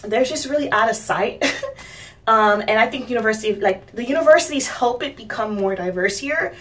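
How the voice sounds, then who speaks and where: A young woman from Miami talking casually to her channel's viewers, rambling with fillers and soft laughs. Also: on the high side, moderate pace, far from the mic. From Miami, United States. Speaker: vlogger. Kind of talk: casual talk to camera.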